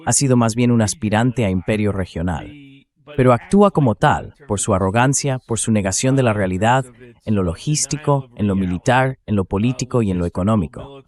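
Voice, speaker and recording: A man's voice: fairly low pitch, fairly quick, darkish tone. A synthetic male voice reading a translated political commentary as a voice-over. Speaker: interview guest analyst, dubbed translation.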